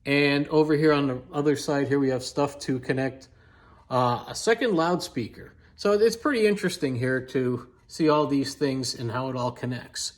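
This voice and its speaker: American guy doing a casual gadget walkthrough, explains stuff in a measured way, not scripted. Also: mid-range voice. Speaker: vintage radio collector presenting a video review. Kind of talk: casual unscripted product demonstration.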